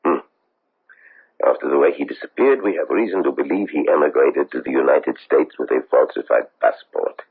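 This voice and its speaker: A grave foreign agent in an old radio play, super low and gravelly, formal, pleading for justice. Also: male voice, full character voice. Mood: measured, dry. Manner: formal, deliberate, pleading phrasing. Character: solemn, insistent foreign government investigator seeking justice. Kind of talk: 1970s radio drama acting. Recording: muffled tinny old radio broadcast.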